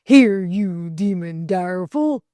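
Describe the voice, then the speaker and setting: A woman plays a storybook gentleman, young, wry and used to command. He speaks slowly, with incisive emphasis and amusement, in a dry recording. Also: fairly low pitch, lively intonation, no room sound.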